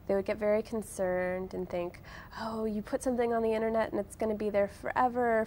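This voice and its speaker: A woman with noticeable vocal fry speaks as an interview guest at a medium pitch. Her delivery is informal and conversational, full of restarts, with faint noise and rumble behind her. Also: darkish tone. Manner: informal, frequent "like" and self-interruptions. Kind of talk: casual conversational interview answers.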